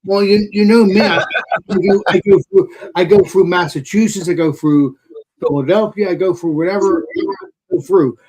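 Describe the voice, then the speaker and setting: Male guest, high voice, playful and repetitive. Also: fairly even intonation, moderate pace, darkish tone, husky, poor audio. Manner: playful, repeats himself. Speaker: beer channel host, guest. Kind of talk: casual chat.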